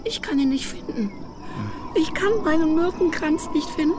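Eine Schauspielerin spielt im deutschen Hörspiel eine verstörte, suchende Frau, klagend und gedehnt. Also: female voice, high-pitched, background noise, close to an everyday voice. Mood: plaintive, lost. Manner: plaintive, drawn-out. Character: distraught woman searching for her lost myrtle wreath. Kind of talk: German radio drama acting.

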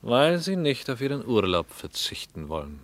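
An actor playing a hard, proud Soviet party secretary in a radio play, clipped and severe like a judge. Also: male voice, medium pitch, sing-song, normal speed, no room sound, natural voice. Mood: probing.

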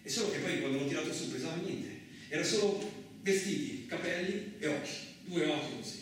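A man's voice: fairly high pitch, a little fast, close to an everyday voice. A man playing a boastful, hot-tempered truck driver, in a colloquial theatrical radio monologue full of muttered asides. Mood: boastful, confiding. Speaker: actor. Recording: very echoey, distant, lightly noisy room.

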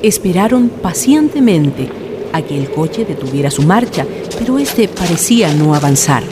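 Casting: actress as solemn female narrator, low voice, scripted radio ghost tale. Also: big pitch swings, moderate pace, heavy background noise. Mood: solemn, suspenseful. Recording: loud vehicle and night sound effects.

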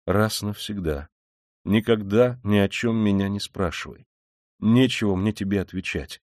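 A man acts out a tormented, withdrawn young man from a classic novel. He speaks in a lowish, dry voice, halting and abrupt, brooding yet resolute. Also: a little mellow, dry recording, close to an everyday voice. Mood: grim.

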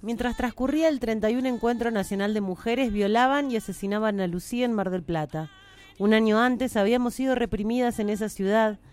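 A woman's voice: fairly high pitch, a little fast, darkish tone. A woman, an activist reading a protest manifesto aloud in an earnest, declamatory way. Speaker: feminist activist.

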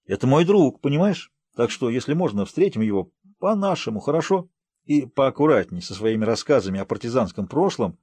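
Man playing a breezy, husky-voiced village guide. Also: medium pitch, big pitch swings, a little fast, a little mellow, no room sound. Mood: reassuring. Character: cheerful, confident man, friendly guide to his home village. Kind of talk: audiobook character voice.

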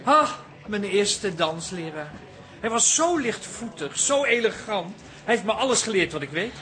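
A man's voice: high voice, big pitch swings, moderate pace, pushed and bright, heavily acted. An actor playing a wistful, precise man who loves dance, in a radio comedy. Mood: nostalgic.

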